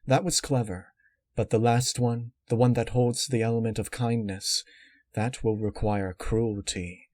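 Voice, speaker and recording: Man voicing a calm, sinister royal mare. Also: medium pitch, no echo, studio quality, natural voice. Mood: cool, probing. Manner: measured, formal, composed. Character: regal female pony ruler, calmly sinister mentor.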